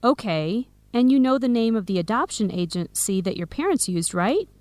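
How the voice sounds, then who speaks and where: An actress playing a teasing, protective young American woman in a radio drama, wry and clearly enunciated. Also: fairly high pitch, big pitch swings, moderate pace, darkish tone, no room sound. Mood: curious. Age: young adult. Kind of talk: scripted radio drama dialogue.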